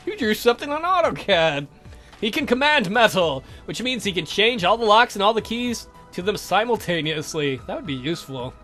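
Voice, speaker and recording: A man with a fairly high, bright voice gives playful game commentary. He is jokey and irreverent, reading dialogue aloud in a very animated way. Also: normal speed, faint music behind. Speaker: let's play commentator.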